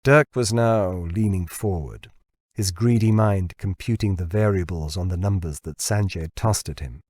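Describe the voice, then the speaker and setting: Male audiobook narrator for fiction, lower register, dry recording. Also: normal speed, a little mellow, dry recording.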